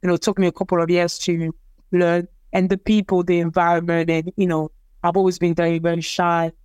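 A woman's voice: on the low side, level tone, brisk, darkish tone, clear, resonant tone, dry recording. A young woman from Cameroon whose first language is French, telling her story in a casual interview, hesitant and repeating words with many fillers. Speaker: interviewee, athlete.

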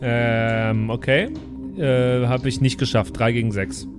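A man's voice: medium pitch, level tone, slow pace, music in the background. A man chatting casually as a player in an improvised role-playing audio drama. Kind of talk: casual improvised in-character chat.

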